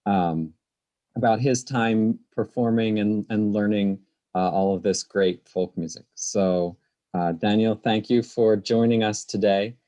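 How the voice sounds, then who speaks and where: A man in his thirties introduces a guest on a video call, speaking thoughtfully with many fillers. His voice sits low in pitch. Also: steady tone, unhurried, dark tone. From Pittsburgh, USA. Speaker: moderator, quartet member. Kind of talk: webinar introduction.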